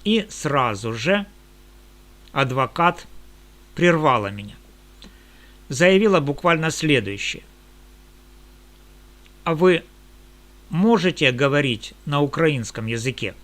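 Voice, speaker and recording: A man who works as a lawyer, giving a measured, indignant monologue in formal legal language. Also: a little high, lively intonation, fairly bright tone.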